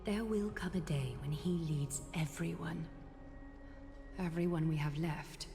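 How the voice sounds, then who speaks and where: Low, solemn, refined leader. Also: female voice. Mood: solemn, reverent. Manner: measured, solemn. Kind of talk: video game voice acting. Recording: reverberant game audio under music.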